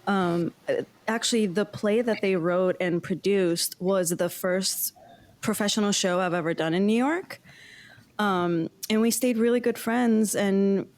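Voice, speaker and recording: A woman speaks slowly in a mid-pitched voice, as an actress being interviewed. Her delivery is casual conversation, looking back on her work. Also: darkish tone. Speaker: actress, cast member.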